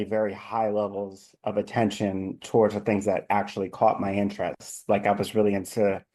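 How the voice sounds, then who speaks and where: Male guest in his 30s from NYC, low mellow voice, candid personal storytelling. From New York City. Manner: open, reflective, with frequent restarts. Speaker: life coach, guest. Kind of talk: conversational storytelling.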